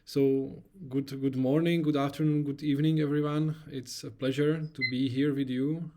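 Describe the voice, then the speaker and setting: A Czech man gives a conference talk in English, with a Czech first language audible. He speaks in an even, flat tone and stumbles often, with fillers and repeated words as he works through his slides. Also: on the high side, normal speed. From Czech Republic. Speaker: research scientist presenting.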